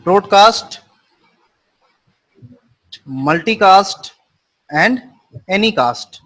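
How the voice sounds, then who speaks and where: A man's voice: sits high, lively intonation, very slow, fairly bright tone, low-quality recording. A man teaching a live online class, explaining terms with repeated simple definitions and quizzing his students. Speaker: networking instructor.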